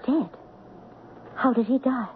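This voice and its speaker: Intense, high-voiced princess, vintage radio. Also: female voice, takes it slowly, slight background noise. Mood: alarmed, suspicious. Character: devoted anxious young princess, romantic and determined. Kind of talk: melodramatic radio drama acting. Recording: muffled vintage radio broadcast, music behind.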